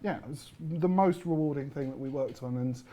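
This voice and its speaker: A man's voice: on the high side, moderate pace, mellow. A man chatting casually as a game developer, explaining his thoughts on work. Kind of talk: casual chat, reflective explanation. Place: live stream room in an office.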